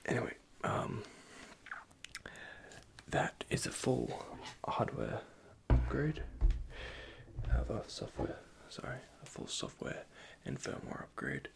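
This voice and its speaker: Man playing a half-whispering, creaky-voiced nervous android repairman. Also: medium pitch, very animated, slow pace, full character voice. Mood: apologetic, fussing. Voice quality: soft, breathy, half-whispered. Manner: hesitant, stammering, reassuring. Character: nervous, apologetic, caring male android repair technician. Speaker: ASMR roleplay performer. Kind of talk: ASMR roleplay, improvised soft speech.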